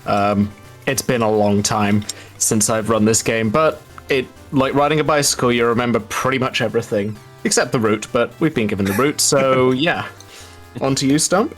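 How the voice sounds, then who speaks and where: A man's voice: mid-range voice, moderate pace, fairly bright tone, soft background music. A man giving casual, explanatory live speedrun commentary in a chatty, wry, informal way.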